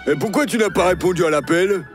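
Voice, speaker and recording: A man's voice: sits high, fast, close to an everyday voice. A man voicing a big, simple, eager cartoon dinosaur with a rousing delivery. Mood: urgent. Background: loud cartoon music.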